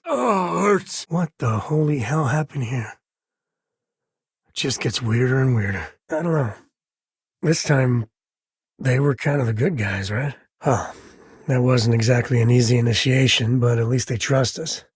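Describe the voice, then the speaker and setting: A man playing an easygoing, wry frontier spacefarer and father in a video game, with a husky voice and folksy phrasing. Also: medium pitch, a little slow, dry recording, studio quality. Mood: wry, casual. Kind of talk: acted video game companion dialogue.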